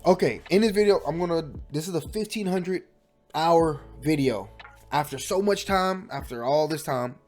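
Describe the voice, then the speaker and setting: A man's voice: a little high, normal speed, a little mellow, soft background music. A young man with a stuffy voice from a cold, chatting casually and correcting himself often as he talks about his own learning. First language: English. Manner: chatty, self-correcting, restless. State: sick, stuffy voice. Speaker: language learning vlogger. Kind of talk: casual rambling monologue.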